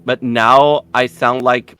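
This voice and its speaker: Young man from Puerto Rico, casual rambling talk, dry close mic, mid-pitched. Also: little pitch movement, slow pace, sharp tone, clean tone, no room sound. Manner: rambling, self-deprecating, swears casually. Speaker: streamer guest. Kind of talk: casual interview chat.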